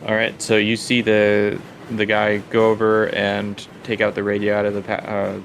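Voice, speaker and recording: Low-voiced man running a tabletop game. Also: completely flat delivery, normal speed, gravelly, fairly bright tone, slight background noise. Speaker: game master. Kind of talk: improvised tabletop game narration.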